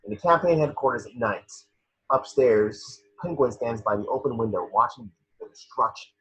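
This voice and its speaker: Husky, bright-edged man reading stage directions. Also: medium pitch, lively intonation, moderate pace, poor audio. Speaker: scene description reader. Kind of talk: reading stage directions at an amateur table read.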